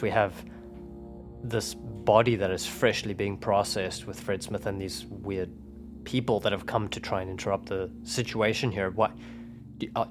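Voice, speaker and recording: A man playing a tabletop roleplaying game, improvising questions and talk for his character. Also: fairly low pitch.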